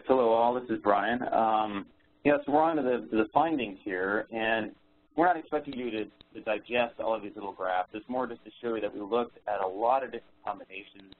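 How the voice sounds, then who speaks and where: Low-voiced man presenting over a phone line. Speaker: research co-presenter. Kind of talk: informal technical presentation on a conference call. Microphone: phone conference call. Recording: thin, band-limited phone conference line.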